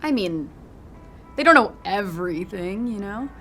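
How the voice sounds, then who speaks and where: A teenage girl in an audio drama. She sounds casual and youthful, breezy yet guarded, with a middle-pitched voice over faint music. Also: animated, unhurried, a bit bright. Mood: casual, polite. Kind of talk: naturalistic audio drama acting.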